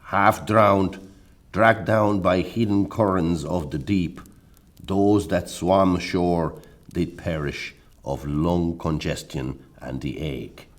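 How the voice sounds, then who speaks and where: A man playing a loyal, battle-hardened Spanish soldier in an audio play, speaking in a deliberate, dramatic way. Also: low-pitched, steady tone. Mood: grim triumph. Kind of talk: audio play, declaimed dialogue.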